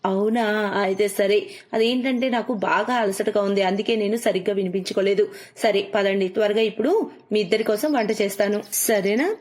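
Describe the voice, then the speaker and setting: A woman voicing a loving, tired working mother of young twin girls in an animated story, fondly scolding and indulging. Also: medium pitch, moderate pace, lightly acted. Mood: weary, fond. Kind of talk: animated moral story dubbing.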